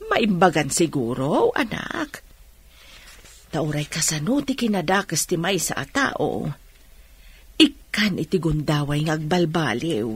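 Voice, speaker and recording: A woman playing a caring, worried mother of a grown son in an Ilocano radio drama, with a husky voice. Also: deep voice, sing-song, a little slow, exaggerated voice.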